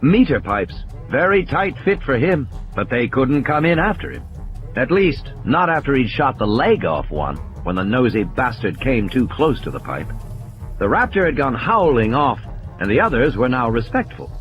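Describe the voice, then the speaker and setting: A man narrates an audiobook in a dramatic scripted style. His delivery is lively in pitch, with music underneath and a slightly dull recording. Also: normal speed. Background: music bed.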